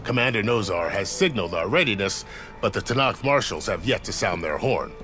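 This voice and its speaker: A man playing a courteous, wry second-in-command officer in a video game, polite, measured and apologetic. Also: fairly low pitch, lively intonation, pushed and bright, soft background music. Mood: amused, wry. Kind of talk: video game character acting.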